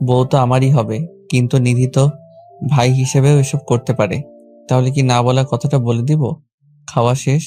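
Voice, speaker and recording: A man with a Bangladeshi accent narrating a scripted first-person romantic story in a plain, even delivery. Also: mid-range voice, moderate pace, faint music behind. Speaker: narrator and lead character of a romantic audio story.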